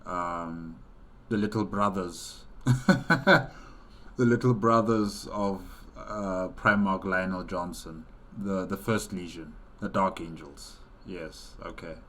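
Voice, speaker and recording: A man's voice: deep voice, slow pace, fairly bright tone. A man hosting a reaction video with casual, off-the-cuff commentary.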